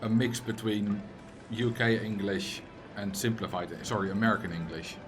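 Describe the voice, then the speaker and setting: A man's voice: fairly low pitch, moderate pace, music in the background. Dutch-accented man streaming a game. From Netherlands. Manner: chatty, reads viewer chat aloud and jokes back; bursts into loud exasperated rants. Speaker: livestreamer. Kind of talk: casual gameplay commentary on a live stream.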